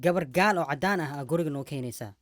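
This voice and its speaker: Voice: medium pitch, racing, faint music behind, lightly acted. A performer voicing a stubborn, naive puppet man with a Somali accent, blunt and insistent, in a comedy puppet show.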